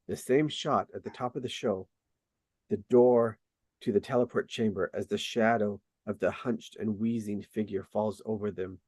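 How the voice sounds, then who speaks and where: A man reading screenplay stage directions as scripted narration, with deliberate dramatic emphasis. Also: lowish voice, a little mellow, no echo. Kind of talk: scripted narration at a table read.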